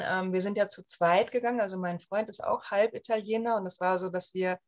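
Guest interviewee, German woman in her 30s, warm chatty storyteller, mid pitch, a bit muffled recording. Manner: chatty, many fillers, lively retelling. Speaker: guest, emigrant. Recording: slightly dull lo-fi sound.

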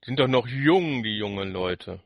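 A man's voice: medium pitch, animated, normal speed, no room sound. A man co-hosting a podcast, giving his opinions in casual, unscripted chat. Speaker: podcast co-host.

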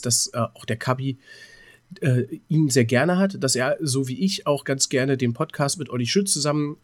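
A man's voice: medium pitch, dry recording. Chatty man in a dry-sounding podcast. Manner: chatty, hesitant searching for words. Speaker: podcast co-host. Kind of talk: casual chat, rambling anecdotes.

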